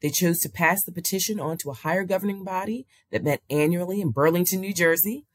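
An American woman with a low, husky voice hosts an interview show. She mixes read-out history with conversational questions, recorded close and dry. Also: dry recording. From United States.

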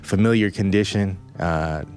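Chill interviewer dude, really deep voice, faint music behind. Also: male voice, moderate pace, a little mellow. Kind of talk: casual interview.

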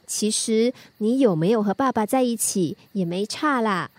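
A woman's voice: mid-range voice, lively intonation, moderate pace, darkish tone, dry recording, close to an everyday voice. A woman playing a teasing, caring teenage daughter in a radio drama, speaking with a Singaporean accent. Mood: caring, sincere. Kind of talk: Mandarin radio drama acting.

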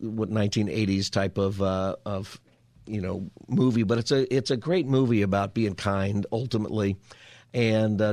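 A hoarse, raspy male radio talk host chatting casually from his studio, rambling with restarts. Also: fairly low pitch, a little mellow, no room sound. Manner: meandering, lots of fillers and restarts. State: hoarse from a cold. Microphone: studio mic.

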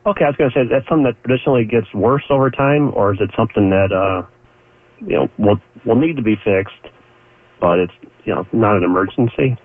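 A man's voice: lowish voice, fast talker. A male caller phoning in to a radio show. He explains his question plainly and sounds a little unsure. The line is thin and phone-like.

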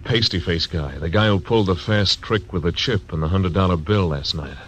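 A terse frontier marshal. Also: male voice, low-pitched, normal speed, a bit bright, lo-fi sound, slight background noise. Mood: steady. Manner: terse, dry. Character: laconic frontier marshal, wounded and stubborn. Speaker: narrator and lead. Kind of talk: 1940s radio acting. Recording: old radio recording with music.

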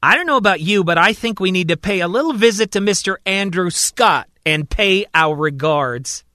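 A man voicing a caring, earnest woman doctor in a gentle, reassuring way for an audio story. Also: sits high, animated, moderate pace, no room sound, studio quality, pitched above the performer's own voice. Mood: determined.

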